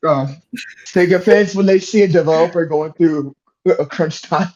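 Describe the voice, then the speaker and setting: A young adult podcast guest chatting informally, halting and breaking off mid-thought. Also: medium pitch, darkish tone, highs cut off. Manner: informal, halting, self-interrupting. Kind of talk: casual podcast discussion.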